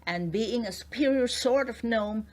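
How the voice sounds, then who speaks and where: A woman's voice: fairly high pitch, a little slow, darkish tone. Woman reading a children's storybook aloud. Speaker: children's book read-aloud narrator. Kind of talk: scripted storybook narration.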